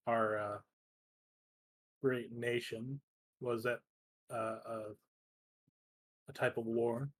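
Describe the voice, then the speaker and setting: Slow, halting man, dry sound. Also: medium pitch, dry recording, low-quality recording. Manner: halting, tentative. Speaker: tabletop roleplay player. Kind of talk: improvised in-character game talk.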